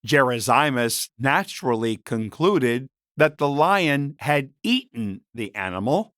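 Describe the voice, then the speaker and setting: A man reading a story as a narrator, in a measured and expressive scripted style. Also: mid-range voice, dry recording, studio quality.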